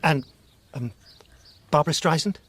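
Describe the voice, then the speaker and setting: A man's voice: on the high side, a little slow, fairly bright tone, no echo. An actor playing an earnest, gushing male fan, mildly fussy and easily flustered, in a comic radio play. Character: starstruck, deferential male superfan, mildly fussy.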